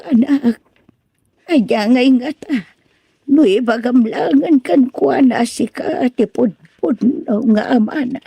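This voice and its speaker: Woman acting slow, husky, tender old mother. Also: high voice, warm tone, no echo, exaggerated voice. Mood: tender, concerned. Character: gentle, sorrowful old mother of grown sons. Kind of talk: amateur audio drama acting.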